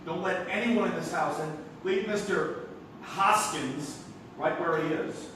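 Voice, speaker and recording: A high-voiced, flustered young man played by a male stage actor, exasperated and stammering. He speaks emphatically in frantic comedy style, heard from far off in a very echoey hall. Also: a little slow, natural voice. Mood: flustered, pleading. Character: flustered, exasperated young theater critic nephew. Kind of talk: theatrical stage comedy acting. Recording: echoey, noisy live theater from far off.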